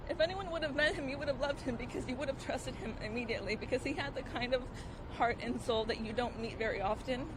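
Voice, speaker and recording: Grieving woman, halting outdoor statement. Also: fairly high pitch, background noise. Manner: halting, emotional, with many restarts. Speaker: sister of the victim. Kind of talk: press conference statement.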